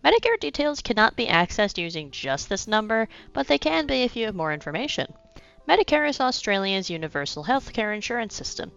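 A woman's voice: medium pitch, big pitch swings, brisk, vocal fry, a bit bright, clear, resonant tone, lo-fi sound, soft background music. A young woman hosting a podcast, narrating a script with a slightly congested voice from a cold and dropping in wry asides. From United States. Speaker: podcast host.

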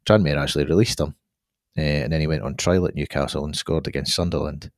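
Very deep-voiced man chatting football. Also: steady tone, fast talker, dry recording, studio quality. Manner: thoughtful, hedging, many restarts. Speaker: football podcast co-host. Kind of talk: casual football chat.

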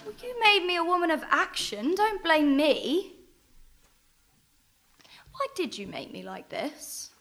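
A plucky adventure heroine with a high, forceful voice. She is brash, impatient and quick to argue, in a radio play style. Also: big pitch swings, exaggerated voice. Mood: impatient, probing. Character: plucky, bolshy action heroine of adventure novels.

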